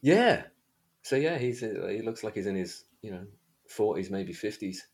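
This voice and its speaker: A man's voice: fairly low pitch, animated, moderate pace, darkish tone, breathy edge. A man from Derbyshire with an East Midlands English accent, chatting informally as a podcast host about a book. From Derbyshire, England. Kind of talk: casual book discussion chat.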